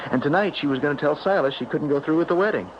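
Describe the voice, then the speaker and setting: A man's voice: a little high, very fast, natural voice. An actor playing a superstitious, stubborn and lovestruck young small-town man in a 1970s radio drama, speaking plainly and emphatically. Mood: troubled, awed. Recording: dull, noisy old radio broadcast recording.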